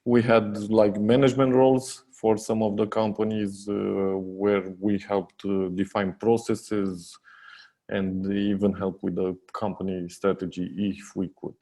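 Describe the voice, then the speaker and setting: Slow, low-voiced Romanian-accented man. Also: flat delivery, dark tone. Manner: hesitant, many fillers and restarts, laughs often. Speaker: guest, software company co-founder. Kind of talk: casual interview chat.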